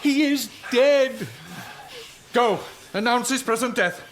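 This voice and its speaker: An actor playing a zealous, vengeful Elizabethan courtier, theatrical and rhetorical in a period radio drama. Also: male voice, very high voice, takes it slowly, fairly bright tone, a little hiss or hum, heavily acted. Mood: grave, proclaiming.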